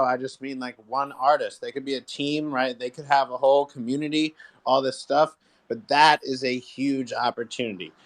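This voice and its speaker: A man's voice: medium pitch, a bit bright. A man giving his opinion in casual, explanatory panel talk, stressing his points. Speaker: panelist in an online music discussion.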